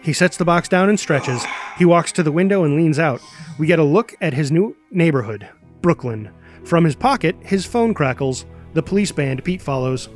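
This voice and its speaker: A man with a high voice narrates an action screenplay. He reads the directions energetically and punchily, with faint music behind him. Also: moderate pace. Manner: energetic, punchy sound-effect words. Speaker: narrator reading stage directions. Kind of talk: dramatic scripted narration of a superhero screenplay.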